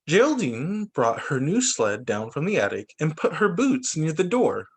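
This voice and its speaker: A man's voice: on the high side, normal speed, slightly rough. A male teacher reading picture books aloud to young children over a video call, stopping now and then to explain things to them. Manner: animated, stops to explain to kids. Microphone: laptop mic on video call.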